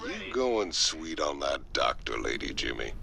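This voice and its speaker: An actor playing a gruff, sarcastic male mercenary soldier. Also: extremely low pitch, very animated, a little slow, over music, heavily acted. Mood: grumbling. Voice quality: gravelly, sarcastic drawl. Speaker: game character.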